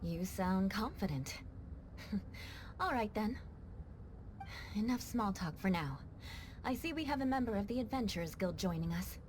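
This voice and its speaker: A woman voicing a proud, reserved young noblewoman knight in a video game, with measured, formal, poised delivery. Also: medium pitch, animated, a little mellow, a little hiss or hum, natural voice. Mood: composed, haughty. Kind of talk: video game cutscene acting.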